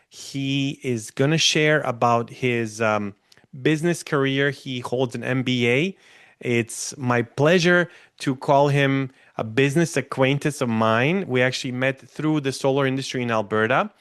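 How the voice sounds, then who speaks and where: A man hosting an interview, warmly introducing his guest and inviting him to tell his story, with occasional fillers. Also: medium pitch, smooth, no echo, studio quality. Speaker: podcast host.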